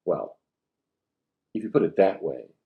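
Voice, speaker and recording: Man reading a grumbling schoolboy spy, low-voiced. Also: animated, a little slow, phone-quality sound. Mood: resigned. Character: grumbling schoolboy spy, a reluctant team leader. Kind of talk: storybook read-aloud dialogue.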